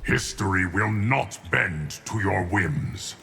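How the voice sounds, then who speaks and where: Very deep, solemn guardian. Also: male voice, expressive, creaky, bright, forceful tone, exaggerated voice. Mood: stern, solemn. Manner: measured, grave, authoritative. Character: stern, calm male guardian of time, immovable and solemn. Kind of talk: video game dramatic dialogue.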